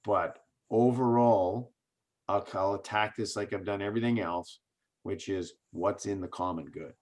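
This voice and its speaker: A man's voice: lowish voice, a little slow, darkish tone. A man, a political candidate answering in an interview, earnest and speech-like, posing rhetorical questions and answering them.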